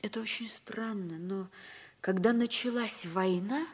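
Breathy, melancholy young woman. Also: medium pitch. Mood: melancholy, confiding. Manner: halting, confiding, breathy dropping to near-whisper. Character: weary, melancholy young woman, introspective and frank. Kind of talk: 1950s radio play acting.

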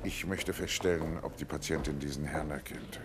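An actor playing a brisk, affable police inspector in a 1950s German radio drama. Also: male voice, a bass voice, fairly even intonation. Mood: businesslike. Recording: noisy old 1950s radio recording.

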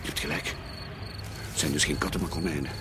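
An actor playing an alarmed father in a radio drama, with a Flemish accent and a dramatic delivery that moves between hushed and agitated. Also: rumbling low, steady tone, quick pace, noisy background. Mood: puzzled, tense. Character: alarmed adult man, a father, facing killer rabbits.

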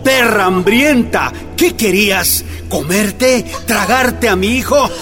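An actor playing a furious high-ranking officer and vengeful father, sneering and ranting with menace in a radio drama. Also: slow, music in the background.

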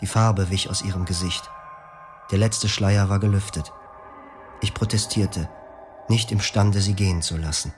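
A man tells his story in the first person for a radio drama, his voice low and grave. He keeps the delivery quiet and measured. Faint music sits under the words. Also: fairly even intonation. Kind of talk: scripted first-person narration.

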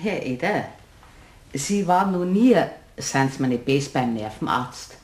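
Exasperated Bavarian wife, swooping pitch. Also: female voice, expressive, unhurried, darkish tone, echoey room. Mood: scolding, fed up. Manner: scolding, brusque. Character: exasperated, sharp-tongued, skeptical Bavarian wife. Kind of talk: German radio play acting.

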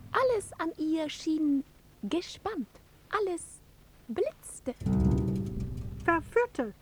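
An actress in a radio drama playing a dazzled, electrified storyteller, theatrical, with drawn-out phrases. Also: female voice, high voice, exaggerated voice, low-quality recording. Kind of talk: stylised radio drama narration. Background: music.

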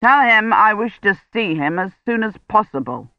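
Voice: medium pitch, expressive, moderate pace, no room sound, lo-fi sound, voice pushed up, close to an everyday voice. A narrator as a firm, weary older academic man. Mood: curt.

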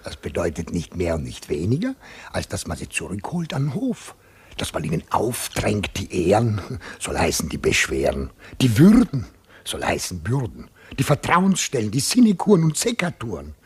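A blunt old-style manservant in a radio play, wry and mocking. The voice is badly roughened and creaky, and it swings hugely up and down as he grumbles. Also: fairly low pitch, wildly swooping pitch, a little mellow, full character voice. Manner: mocking, drawn-out wordplay. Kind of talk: theatrical radio drama acting.

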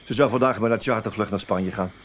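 Man acting a low-voiced, wry intelligence officer. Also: quick pace, lightly acted. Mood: dry, teasing. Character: wry, tactful male intelligence officer breaking bad news. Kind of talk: Dutch radio play acting. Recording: noisy old radio drama recording.

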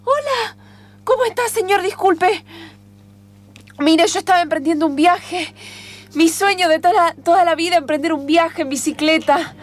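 A woman's voice: extremely high pitch, normal speed. A woman playing a dreamy, naive young woman cyclist in improvised radio theatre, emotional and hesitant, with an Argentine accent. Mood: distressed.

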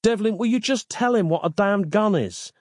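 Man playing an exasperated high-pitched modern guy. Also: animated, normal speed, no echo, clean studio sound. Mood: indignant. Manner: incredulous, sharp complaining. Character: exasperated, sarcastic modern man, the sane one.

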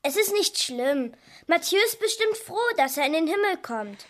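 A woman's voice: high voice, lively intonation, moderate pace, fairly bright tone. A female performer in a radio crime drama playing an innocent little girl who talks in an earnest, matter-of-fact, eerie way. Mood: innocent concern.

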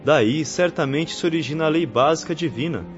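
An actor in a radio drama playing an earnest young spirit missionary who teaches patiently in a formal, solemn way. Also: male voice, fairly high pitch, fairly quick, natural voice. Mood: solemn, instructive. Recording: muffled lo-fi radio drama recording, faint music.

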